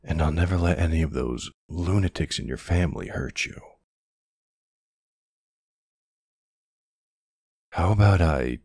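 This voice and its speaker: A man playing a tender, protective older ex-soldier boyfriend with a husky, gravelly voice in an intimate ASMR roleplay. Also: a bass voice, no room sound, clean studio sound, exaggerated voice. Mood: soothing, protective. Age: middle-aged or older character. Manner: affectionate teasing, hesitant pauses, soft reassurance.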